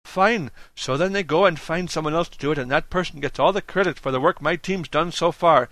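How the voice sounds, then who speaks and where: A man's voice: a little high, moderate pace, bright, forceful tone, no room sound, pitched above the performer's own voice. A man voicing a put-upon, doubtful male team leader who complains glumly in an audiobook. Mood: bitter complaint. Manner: glum, aggrieved, complaining. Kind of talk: audiobook character acting.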